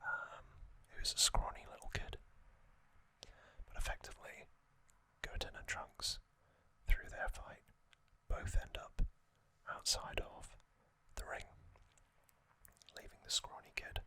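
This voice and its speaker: A man hosting an ASMR video, whispering a soft, hesitant recap and correcting himself now and then. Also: takes it slowly, long pauses. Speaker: ASMR host.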